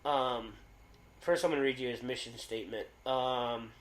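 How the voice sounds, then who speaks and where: A man co-hosting a casual podcast, loud-voiced and rambling, with lots of fillers and restarts. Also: mid-range voice, steady tone, normal speed, echoey room. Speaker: podcast co-host.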